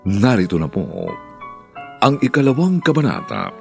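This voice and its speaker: A man's voice: medium pitch, wildly swooping pitch, moderate pace, vocal fry, darkish tone, faint music behind. A man with a Filipino accent narrating a radio drama in a solemn, grandiose, theatrical scripted style.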